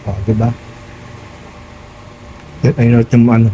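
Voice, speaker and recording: A man's voice: medium pitch, flat delivery, slow, warm tone, a little hiss or hum, rough recording. A Filipino man hosting a casual hands-on tutorial vlog, chatting directly to his viewers. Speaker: vlogger hosting an unboxing and installation.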